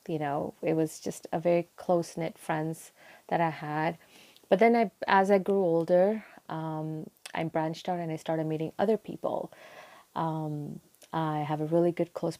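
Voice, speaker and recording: Interview guest: young woman, low gravelly voice, soft and meandering. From Sri Lanka. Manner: soft-spoken, frequent ums, meandering. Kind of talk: casual personal storytelling.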